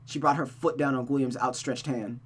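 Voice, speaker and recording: A woman's voice: very deep voice, steady tone, moderate pace, a little hiss or hum. A woman reading a visual novel aloud as host, narrating the story with casual asides. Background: music.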